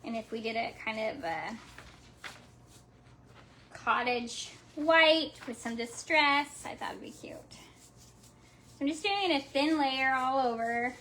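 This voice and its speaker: A young woman with a high, bright voice talks loosely through a home craft project, narrating each step to viewers. She chats as she works, drifting off and restarting her sentences, and her speech carries a slight lisp. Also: expressive, takes it slowly, long pauses, a little hiss or hum. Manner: chatty, talks while working, trails off. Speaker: craft vlogger. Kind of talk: casual live craft chat.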